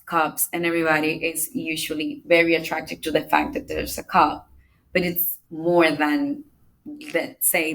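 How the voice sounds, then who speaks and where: A woman from the Republic, giving measured step-by-step answers as a podcast guest. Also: low voice, fairly bright tone, poor audio. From Dominican Republic. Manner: measured, explains step by step with small restarts. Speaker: climate negotiator, podcast guest. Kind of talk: explanatory interview answers.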